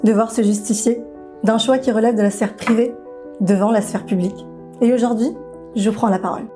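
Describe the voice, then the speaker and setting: A woman's voice: a little high, steady tone, fairly quick, warm tone, faint music behind. A woman delivering a firm, scripted monologue for a campaign film.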